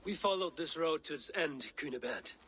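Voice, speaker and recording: An actor playing a stern, blunt Viking leader, speaking in terse, solemn declarations. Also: male voice, fairly high pitch, animated, moderate pace, pushed and bright, slight background noise. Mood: impatient.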